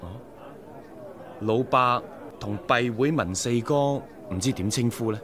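A man's voice: medium pitch, a little slow, a crowd in the background, lightly acted. A man with a Hong Kong Cantonese accent playing a respectful young member of a rebel society in a radio drama. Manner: respectful, eager, formal greetings. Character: courteous young male sworn brother of a rebel society. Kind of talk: 1990s radio drama acting.

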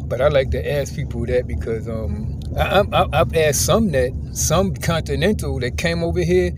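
Man, American, inquisitive, casual, restarts words, mid pitch, faint car noise. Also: moderate pace. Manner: inquisitive, conversational, repeats words when starting. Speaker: passenger and video host. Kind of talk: casual conversation. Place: inside a car at night.

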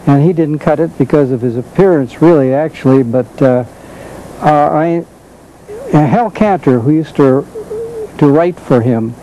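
An older American man chatting casually as a talk show co-host, halting and repeating words as he searches for the next one. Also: on the high side, dark tone, a little hiss or hum, rough recording. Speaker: talk show co-host, former radio and TV writer. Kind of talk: casual chat. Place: local community television talk show.

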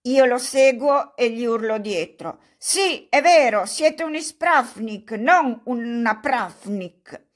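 A woman reading aloud, voicing a fawning old male buffoon who talks loudly and effusively. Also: fairly high pitch, lively intonation, slow pace. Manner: loud, effusive, self-mocking clowning.

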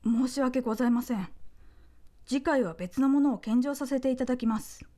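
A woman playing a composed, courteous royal envoy in a radio drama, with very polite, measured, deferential speech. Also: high-pitched, mellow, close to an everyday voice. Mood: composed diplomatic. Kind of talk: radio drama acting, formal diplomatic speech.

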